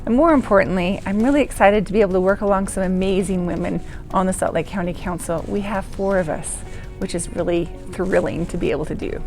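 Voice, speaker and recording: A woman's voice: mid-range voice, fairly quick, a little mellow, faint music behind. A woman county council member giving an upbeat, earnest motivational message. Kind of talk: encouraging civic message.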